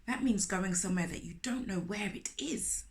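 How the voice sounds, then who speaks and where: A woman reading a picture book aloud to young children, animated and speaking to them directly with questions. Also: medium pitch, normal speed. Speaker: children's storyteller, host of a storytime series.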